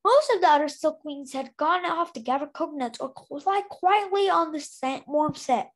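A woman's voice: high voice, lively intonation, normal speed. A woman reading a fantasy novel aloud as an amateur, stumbling over words and correcting herself. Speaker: amateur book reader.

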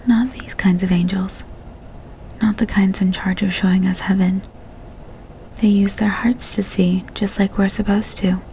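An earnest, sad young boy whispers his words in a flat, even murmur, as a woman reads him aloud. The tone stays soft and mellow throughout this whispered storybook reading. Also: medium pitch, unhurried, slight background noise, close to an everyday voice, rough recording.

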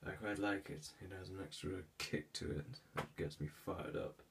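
A man's voice: deep voice, normal speed, gravelly, far from the mic, background noise. A man playing a haughty sick heir. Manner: haughty, formal, hesitant with fillers. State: stuffy with a cold, sneezing. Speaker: ASMR roleplayer. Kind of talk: ASMR roleplay.

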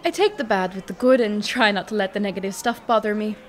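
A woman playing a gentle, modest elven market trader in an audio drama. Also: mid-range voice, fast talker, a faint crowd, natural voice. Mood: guarded, troubled. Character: gentle young elven woman trader, friendly but guarded.

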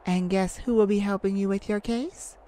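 A woman's voice: medium pitch, fairly even intonation, moderate pace, dark tone, a little hiss or hum, close to an everyday voice. A woman voicing a courteous, easily flustered, ageless celestial afterlife caseworker in an ASMR roleplay, hesitant and apologetic. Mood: pleased. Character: polite, flustered, thousands-year-old celestial afterlife caseworker.

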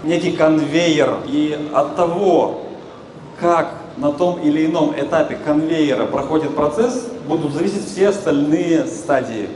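A man's voice: fairly high pitch, unhurried, a little mellow. Echoey man lecturing in a noisy hall. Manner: explanatory, colloquial, puts questions to listeners. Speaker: health lecturer speaking on stage. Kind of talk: informal public lecture to an audience. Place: stage in a large exhibition hall, recorded from the audience.

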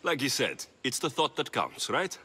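A defiant young Greek mercenary for a video game. His voice is forceful and husky, with a lively, wry delivery. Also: mid-range voice, moderate pace, heavily acted. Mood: wry, confident. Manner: blunt, wry. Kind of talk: video game cutscene acting.

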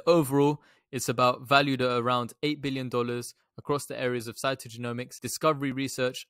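A man's voice: mid-range voice, a bit bright, no room sound. A young man giving informal explanatory commentary as a stock analysis presenter, recorded close to a desktop microphone. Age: young adult.

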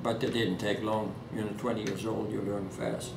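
Old man, Norwegian accent, low-pitched voice, halting with restarts, roomy home recording, hiss behind. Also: steady tone, creaky. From Norway. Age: 80s. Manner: halting, many fillers and restarts. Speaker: interviewee. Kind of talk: oral history interview. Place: living room at home. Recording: echoey room, distant mic, background hiss.

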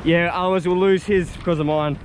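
Excited high-voiced man over loud surf. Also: a little fast. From Australia. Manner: excited, urgent, calling instructions. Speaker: fishing vlogger. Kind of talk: excited outdoor commentary. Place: ocean rock ledge outdoors. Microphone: action camera. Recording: loud wind and surf noise.

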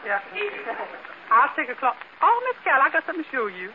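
A man's voice: extremely high pitch, sing-song, fast talker. A man playing a sassy, good-humored, folksy housemaid with homespun grammar in 1940s radio comedy. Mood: sly, easygoing. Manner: folksy, nonstandard grammar, comic exclamations. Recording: muffled noisy 1940s radio, audience behind.

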